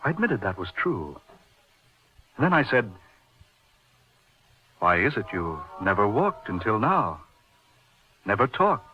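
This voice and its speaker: A haunted, wildly expressive horror narrator. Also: male voice, fairly low pitch. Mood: grave, remembering. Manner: theatrical, florid, dramatic pauses. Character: haunted man recounting his uncanny lifelong friend, intense. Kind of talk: old-time radio horror narration. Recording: dull old radio recording with music behind. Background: dramatic music.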